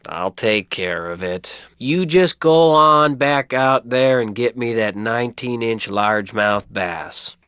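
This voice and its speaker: A clear, smooth male voice at an average pitch as a folksy small-town tradesman in a game. He is easygoing and chatty, a keen fisherman. The sound is dry and clean. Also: slow pace, hardly any pauses, sharp tone, no echo. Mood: amused, correcting. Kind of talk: video game character acting.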